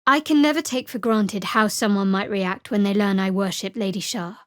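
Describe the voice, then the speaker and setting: A woman playing a guarded, wry young cleric of a dark goddess in a video game, with a dry, sardonic and measured delivery. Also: mid-range voice, no echo, close to an everyday voice. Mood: guarded, earnest. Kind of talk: video game character dialogue.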